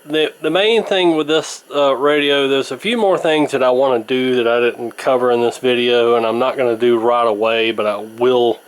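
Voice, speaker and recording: A man's voice: mid-range voice, steady tone, moderate pace, few pauses, smooth, poor audio. A man giving a casual, unscripted repair demonstration in a folksy, rambling, hesitant way. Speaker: host of a vintage radio repair channel.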